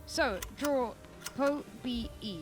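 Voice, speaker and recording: An actress playing a brisk, impatient, self-assured woman leading a space heist in a sci-fi audio comedy, dry and bossy. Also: high-pitched, faint music behind. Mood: brisk, focused.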